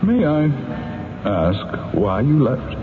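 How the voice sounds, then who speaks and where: A man's voice: mid-range voice, wildly swooping pitch, slow pace. A man playing a shrewd, candid defense attorney in a radio mystery drama, with a wry, conversational manner. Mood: probing, courteous. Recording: tinny, noisy old radio recording.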